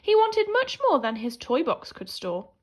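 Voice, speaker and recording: A woman teacher reading a children's picture book aloud in an animated, theatrical way, swinging between hushed and emphatic delivery. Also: high voice, normal speed. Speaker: primary school teacher reading a story.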